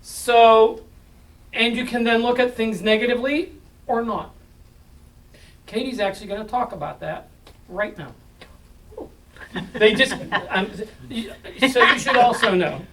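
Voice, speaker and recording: A man's voice: very high-pitched, a little slow, pauses often, fairly bright tone, recorded from a distance, slight background noise. A man presenting live to an audience in a joking, self-mocking, chatty way.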